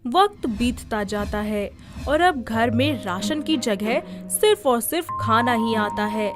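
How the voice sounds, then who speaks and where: A woman narrating a scripted moral family story. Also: on the high side. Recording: music behind the voice. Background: background music.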